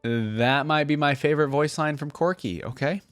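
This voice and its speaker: A man hosting a casual reaction video, reading aloud and commenting conversationally as he thinks out loud. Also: a little high, moderate pace, smooth, dry recording, faint music behind. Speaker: YouTube reaction host.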